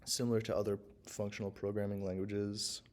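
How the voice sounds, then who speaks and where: A young man with a hoarse, froggy voice from a sore throat, giving a halting, unscripted class presentation as a university student. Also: on the low side, flat delivery, darkish tone. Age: young adult. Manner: halting, unscripted, frequent pauses. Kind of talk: recorded class presentation, screen walkthrough.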